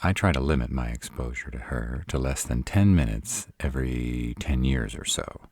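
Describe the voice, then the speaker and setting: A man's voice: a bass voice, animated, normal speed, darkish tone, no echo, full character voice. A man playing a sarcastic, proud city-bred vampire in a scripted audio roleplay, with dry, sardonic delivery. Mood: exasperated sarcasm. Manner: sardonic, dry, rhetorical questioning.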